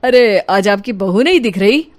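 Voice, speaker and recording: A woman's voice: medium pitch, animated, normal speed, lightly acted. A woman voicing a nosy, smug neighbour in a cartoon, speaking pointedly.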